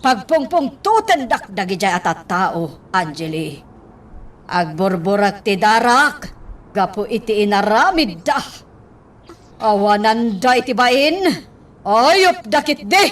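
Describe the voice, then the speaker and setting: A bitter, complaining mother, acted by a woman with an Ilocano accent. The voice is fairly high and unhurried, dragging out each grievance. It sounds a little distant from the mic, in radio drama style. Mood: angry. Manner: aggrieved, complaining. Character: fretful, bitter mother upset over lost money.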